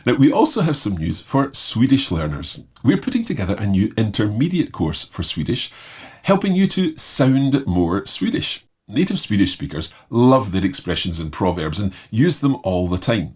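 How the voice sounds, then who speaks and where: Low, husky man presenting announcements. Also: a little mellow. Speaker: host.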